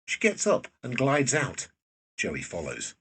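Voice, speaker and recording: Guy narrating an audio play, really raspy lowish voice, sounds a bit far from the mic. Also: wildly swooping pitch, slow pace, fairly bright tone. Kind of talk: scripted present-tense drama narration.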